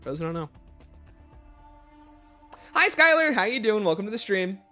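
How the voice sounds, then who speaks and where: A man with a fairly high, very animated voice hosts a casual livestream. He reads viewer questions aloud and answers them conversationally, turning aside to chat with the audience. Light music plays behind him. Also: normal speed. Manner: conversational, breaks off to greet and thank viewers. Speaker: livestream host.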